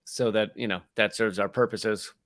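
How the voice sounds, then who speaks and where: A man's voice: fairly low pitch, fairly even intonation, normal speed, a bit bright, no echo. Wry man on a dry podcast mic. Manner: wry, rambling, laughs between lines. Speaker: podcast co-host. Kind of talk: casual comedy chat.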